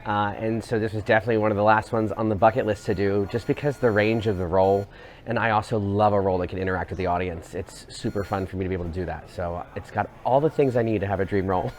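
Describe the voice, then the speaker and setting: A quick, fairly low-voiced American actor you'd hear chatting in a theatre's cast feature. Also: male voice, steady tone. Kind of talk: cast interview.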